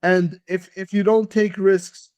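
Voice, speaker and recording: A man's voice: sits high, flat delivery, slow pace, darkish tone, clear, resonant tone, no room sound, lo-fi sound. A man whose first language is Russian, a podcast guest talking earnestly about hard work, often repeating words as he starts sentences. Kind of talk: conversational interview.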